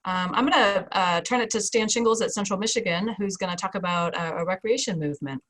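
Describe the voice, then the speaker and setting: A woman's voice: mid-range voice, fast, a bit bright. A woman facilitating a video call roundtable, talking conversationally with frequent "you know" asides. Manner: conversational, uses you know and right often. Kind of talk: video call panel moderation.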